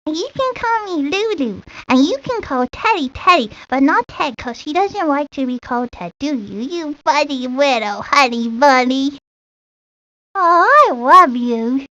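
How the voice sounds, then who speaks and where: A woman's voice: high voice, lively intonation, a little slow, sharp tone, full character voice. A woman voicing a sweet, doting little preschool child in a cartoon game. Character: sweet, doting tiny girl with teddy bear. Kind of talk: cartoon game character lines. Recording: dull lo-fi old game audio.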